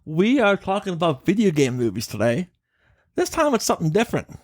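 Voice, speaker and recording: A man with a fairly high voice talks as a podcast host, recorded very dry with almost no room around him. He chats casually but makes his points emphatically, full of opinion and the odd swear word. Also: huge pitch swings, fairly quick, no room sound.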